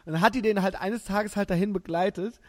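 A German man in his thirties hosting a podcast, chatting casually and telling animated, slangy stories with frequent laughter. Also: high voice, a little fast. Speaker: podcast host. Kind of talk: casual chat.

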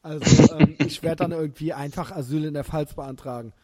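Man, German accent, thirties, podcast host, casual slangy storytelling, laughs a lot, fairly high voice. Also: fairly even intonation, brisk, a crowd in the background. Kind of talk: casual chat.

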